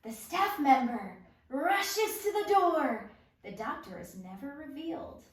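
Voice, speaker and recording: A woman reading stage directions at a table read in a lively, theatrical narrating style, acting out sound effects. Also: high-pitched, measured pace. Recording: echoey room, distant mic, music behind.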